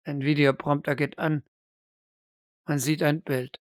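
Casting: female game master, slow atmospheric horror narration, medium pitch, dry close recording. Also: level tone, no room sound.

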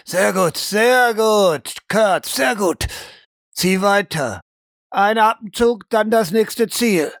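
A man's voice: high-pitched, slow pace, gravelly, fairly bright tone, dry recording, clean studio sound, full character voice. A man playing an intense military shooting instructor in an audio drama, talking in terse, commanding phrases. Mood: urgent, egging on. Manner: terse clipped commands, drawn out for emphasis.

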